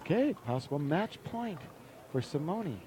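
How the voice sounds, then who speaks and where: A man giving chatty, excitable live commentary on a sports match. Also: a little high, measured pace, noisy background.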